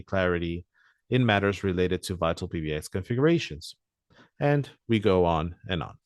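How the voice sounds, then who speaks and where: Deep-voiced man presenting a webinar. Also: no echo, clean studio sound. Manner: hesitant, frequent "uh" fillers. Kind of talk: software demo walkthrough.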